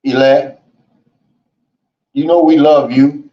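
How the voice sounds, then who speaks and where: A man hosting a casual livestream, reading out viewer comments and chatting in a relaxed, folksy way, often saying "man" and "you know". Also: fairly high pitch, takes it slowly. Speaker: livestream host. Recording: roomy and dull, lo-fi.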